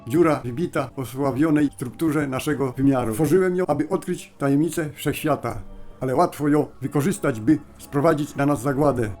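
A man playing a paranoid, secretive, intense scientist in a fan-dubbed animated cartoon, speaking urgently and formally. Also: medium pitch, normal speed, soft background music, natural voice. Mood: urgent, solemn. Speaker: fan dub voice actor. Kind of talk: animated cartoon dub acting.

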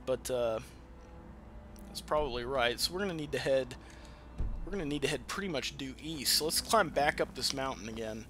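A man's voice: medium pitch, moderate pace, sharp tone, faint music behind. A man giving casual, rambling let's play commentary, with some swearing. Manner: rambling, profane. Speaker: gaming video host.

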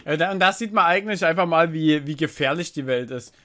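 A man's voice: fairly high pitch, fast talker, bright, forceful tone. A man streaming from home on a headset, chatting loosely and greeting his viewers as he reacts to a video. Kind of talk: casual live-stream commentary.

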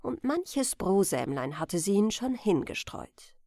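Female bedtime storyteller: gentle, slow delivery, animated intonation, in a dry recording. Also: mid-range voice, no echo. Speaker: storyteller, channel host. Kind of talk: bedtime fairy-tale narration.

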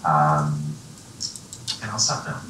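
Low-voiced man in an echoey hall. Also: slow pace, sharp tone, recorded from a distance, soft background music. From US East Coast. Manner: emphatic, self-mocking. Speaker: nonprofit executive director. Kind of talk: panel introduction. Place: large conference hall with audience.

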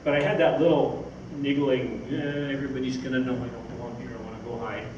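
A man's voice: medium pitch, flat delivery, fast, muffled recording. An older man giving an informal, rambling, anecdotal talk on stage, answering questions from an audience. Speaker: speaker, science fiction writer. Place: on stage in an event hall. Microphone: far camera microphone. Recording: echoey hall from far off, noisy.

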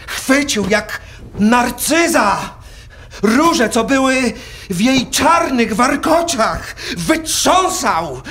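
An actor playing a proud, hot-headed middle-aged count, declaiming verse in a volatile theatrical way for a radio play. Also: male voice, high-pitched, fairly bright tone, full character voice. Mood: agitated, furious.

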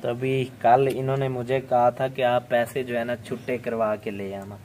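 A man's voice: mid-range voice, flat delivery, normal speed, darkish tone, a little hiss or hum. A young man from Pakistan hosting a casual village vlog outdoors, chatty and laughing often as he talks to his viewers. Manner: chatty, laughs often, keeps saying "okay". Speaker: vlog host.

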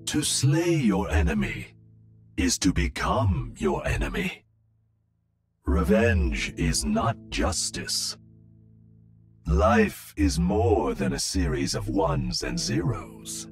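An actor playing a serene, wise robot monk from a video game, speaking in measured, philosophical sayings. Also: male voice, high-pitched, very animated, vocal fry, faint music behind, exaggerated voice. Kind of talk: video game hero voice lines.

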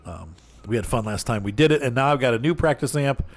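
A man's voice: mid-range voice, animated, quick pace. A man chatting casually and rambling to viewers while playing a farming game, laughing often. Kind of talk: casual gameplay chat.

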